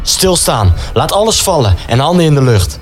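A man's voice: a little high, very animated, moderate pace, soft background music. A man acting a curt, zealous young collaborator brother in a wartime radio play. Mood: commanding.

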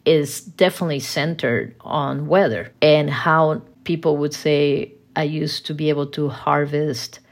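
Low-voiced woman farmer. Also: fairly even intonation, measured pace, few pauses. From Costa Rica. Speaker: coffee farmer guest. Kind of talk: interview storytelling.

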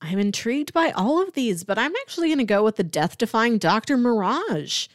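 A woman's voice: on the high side, sing-song, fairly quick, no echo, clean studio sound. A woman co-hosting a casual pop culture podcast, chatty and emphatic, repeating phrases for effect. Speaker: podcast co-host.

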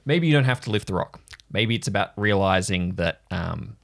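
A man's voice: fairly low pitch, animated, normal speed, creaky. A man playing a kind, thoughtful listener in an improvised audio drama, feeling out his words hesitantly. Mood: tentative, reassuring. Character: gentle counselling acquaintance, slightly awkward, thoughtful, kind.

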